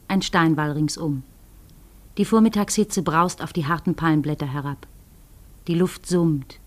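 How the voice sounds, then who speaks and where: A woman as a composed narrator in a radio play, painting a scene in a measured, descriptive way. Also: fairly low pitch, lightly acted.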